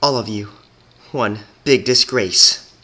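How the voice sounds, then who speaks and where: A man's voice: fairly low pitch, takes it slowly, pushed and bright, heavily acted. A man voicing a wrathful, regal female pony princess who scolds in a commanding, accusatory way in a dramatic reading. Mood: cold fury. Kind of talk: dramatic fan-fiction reading.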